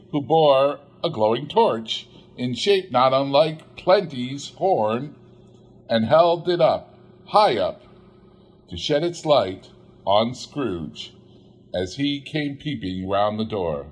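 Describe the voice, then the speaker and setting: A man narrates a literary story at a slow, unhurried pace. His voice is husky and sits at a middling pitch. He reads expressively and theatrically, leaving deliberate pauses between phrases. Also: animated. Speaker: audiobook reader.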